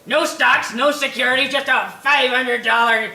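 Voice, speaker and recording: A woman's voice: sits high, fairly even intonation, creaky, sharp tone, reverberant, a little hiss or hum, exaggerated voice. A woman playing a sneering rich old man. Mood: gloating. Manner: slow, mocking, drawn-out delivery.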